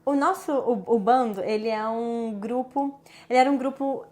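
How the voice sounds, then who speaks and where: Lively Minas Gerais woman in an interview. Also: on the high side, moderate pace. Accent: southern Minas Gerais Brazilian Portuguese. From southern Minas Gerais, Brazil. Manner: lively, animated storytelling. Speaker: guest, actress. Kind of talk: casual interview.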